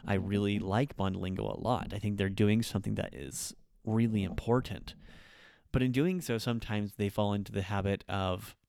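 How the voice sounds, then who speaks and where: Guy doing a solo podcast rant, lowish voice, dry close mic, lots of ums. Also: steady tone, normal speed, creaky, darkish tone, no room sound. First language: English. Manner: frank, opinionated, with frequent ums and restarts. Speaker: podcast host and language teacher. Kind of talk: unscripted monologue.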